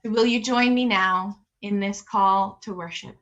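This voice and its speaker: A woman's voice: medium pitch, steady tone, unhurried, fairly bright tone, dull audio. A woman leading worship with a scripted, solemn and reflective liturgical address over a video call. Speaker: worship leader.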